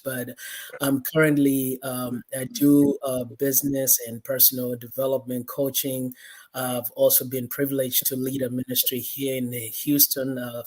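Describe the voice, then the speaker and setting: A man from Kenya, earnestly giving his personal testimony as an interview guest in measured phrases. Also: medium pitch, darkish tone. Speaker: guest, pastor and life coach.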